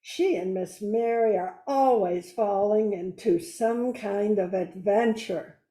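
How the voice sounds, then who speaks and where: A woman's voice: mid-range voice, takes it slowly, mellow. An older woman reading a scripted cast introduction for an amateur radio play, with roomy, echoing sound.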